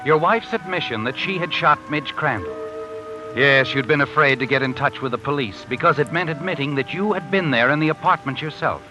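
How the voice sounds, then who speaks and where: A man's voice: fairly high pitch, fairly quick, over music, lightly acted, rough recording. An actor playing a cool, knowing narrator in a 1950s radio drama, speaking to a guilty man as "you" in an insinuating way.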